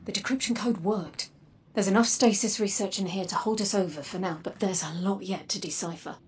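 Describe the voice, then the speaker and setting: An actress playing a grave, guarded woman warrior in a video game, measured and solemn, her breathy voice dropping toward a whisper. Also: medium pitch, a little hiss or hum, natural voice. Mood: resolute, serious. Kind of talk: dramatic video game acting. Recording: game music underneath. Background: music.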